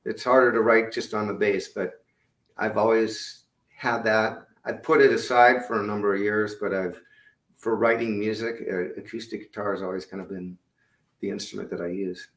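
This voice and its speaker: A man's voice: steady tone, moderate pace, a bit bright. Rambling man in an echoey room. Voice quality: lower-range voice. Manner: rambling, many fillers and restarts. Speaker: musician guest. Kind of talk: casual interview chat. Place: home room indoors. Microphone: video call webcam.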